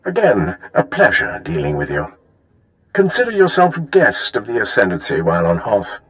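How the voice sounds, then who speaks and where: A man's voice: lowish voice, huge pitch swings, normal speed, a bit bright, faint music behind, heavily acted. A man playing a cool, formal military officer in a sci-fi video game, speaking in a clipped, authoritative way. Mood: formal, courteous. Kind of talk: video game character acting.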